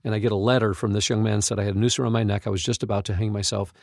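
Earnest man with a gravelly edge. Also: fairly low pitch, little pitch movement, very fast, no echo, studio quality. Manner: earnest, emphatic, exclamatory. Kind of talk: unscripted conversational talk with testimonies.

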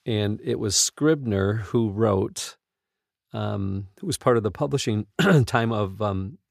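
A man hosting a podcast in casual studio chat, speaking in a halting, earnest and enthusiastic way. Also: on the low side, vocal fry, dry recording. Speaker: podcast host. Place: recording studio.

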